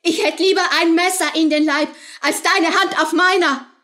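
An actress playing a soldier's sharp-tongued young lover in a radio play, retorting with defiance. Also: female voice, extremely high pitch, fairly even intonation, moderate pace. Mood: defiant. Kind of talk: German radio play acting.

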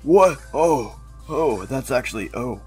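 A man's voice: mid-range voice, animated, takes it slowly, a little mellow, slightly rough, faint music behind, heavily acted. A man voicing a melodramatic, blunt and spiteful cartoon contestant who stammers and repeats himself, in an amateur web animation. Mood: relieved, spiteful. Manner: stammering, repetitive, dramatic.

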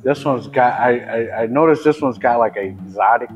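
A man tasting beer in a brewery taproom, joking and relaxed as he compares two samples into a lapel mic. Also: medium pitch, normal speed, muffled recording, soft background music. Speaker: coworker taste tester. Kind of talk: casual tasting comments.

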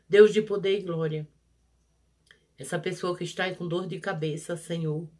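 A prayer leader, leading a fervent healing prayer in a rhythmic, repetitive, pleading cadence, swelling loud on each plea and dropping soft on each invocation. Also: medium pitch, fairly even intonation, measured pace. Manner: pleading, rising loud on pleas and falling soft on each "Jesus".

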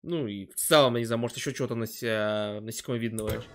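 A man's voice: on the low side, quick pace, fairly bright tone, slight background noise. A young man giving casual reaction commentary as a streamer, close to a microphone indoors. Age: young adult. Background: faint soundtrack of the cartoon.

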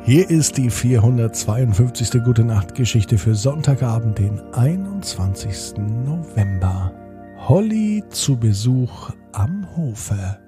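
A man's voice: mid-range voice, warm tone. A man hosting a children's podcast and narrating a bedtime story, speaking directly to young listeners. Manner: addresses young listeners directly, drawn-out repeated words.

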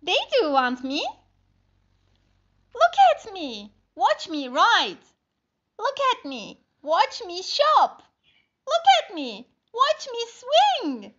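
A woman's voice: very high-pitched, huge pitch swings, extremely slow, long pauses, fairly bright tone, muffled recording, a little hiss or hum, exaggerated voice. A woman voicing a lonely, eager female spider in a storybook, with simple, emphatic, showy delivery.

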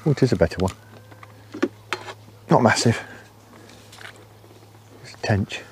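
A man in his fifties, an angler talking casually to the camera from a lakeside bank, soft-spoken and often murmuring under his breath. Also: fairly low pitch, animated, measured pace. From England. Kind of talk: casual fishing commentary. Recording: faint outdoor noise with birds.

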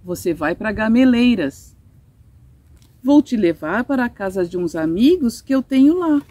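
A woman's voice: on the high side, sing-song, moderate pace, mellow, no echo. Woman as a firm, insistent storybook aunt. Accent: Brazilian Portuguese. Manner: direct, firm. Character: brisk, insistent adult aunt.

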